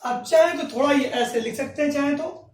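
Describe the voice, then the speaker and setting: A male math teacher giving a classroom lecture, dictating slowly and asking then answering his own questions. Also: very high voice, soft background music. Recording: roomy echo from a distance.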